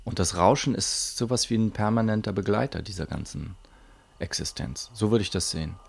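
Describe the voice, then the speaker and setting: A man's voice: on the low side, brisk, gravelly, slightly rough. A man musing philosophically in a reflective interview statement.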